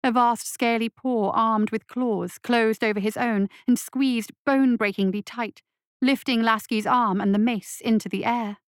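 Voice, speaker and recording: A woman narrating a dark fantasy story in a scripted, dramatic audiobook reading style. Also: a little high, steady tone, measured pace, clean tone, dry recording, studio quality. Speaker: story reader.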